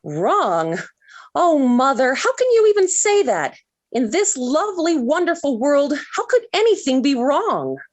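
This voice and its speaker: Gushing, swooping American teenage daughter. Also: female voice, high voice, sing-song, measured pace. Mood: dreamy. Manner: gushing, theatrical, airy. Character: dreamy, melodramatic, lovesick teenage daughter. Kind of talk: 1940s radio sitcom acting. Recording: vintage 1940s radio recording.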